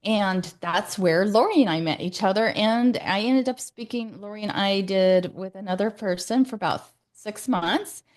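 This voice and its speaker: A woman's voice: mid-range voice, normal speed, hardly any pauses. Woman host, casual online chat, rambling with fillers and restarts. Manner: chatty, many fillers and restarts.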